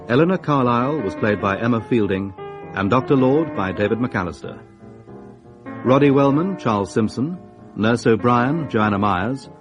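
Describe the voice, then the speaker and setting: A man with a British accent making formal, scripted announcements as a radio drama announcer. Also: medium pitch. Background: music.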